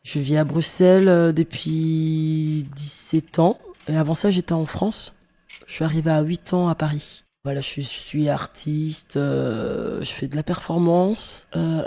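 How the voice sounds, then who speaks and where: A woman in her thirties, an artist and DJ, speaking directly and easily about her life in an interview, with frequent fillers. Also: sits low. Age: 30s. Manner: relaxed and direct, with many fillers. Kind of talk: personal testimony in an interview.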